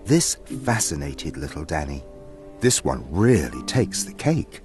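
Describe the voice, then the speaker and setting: A man's voice: a bass voice, very animated, a little slow, music in the background. A man reading scripted story narration as the narrator of a fantasy tale.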